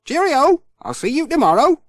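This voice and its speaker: Man acting a cheerful postman, animated swooping pitch. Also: normal speed, no room sound, studio quality. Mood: cheerful, hurried. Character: cheerful, kindly village postman. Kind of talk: children's audiobook character voice.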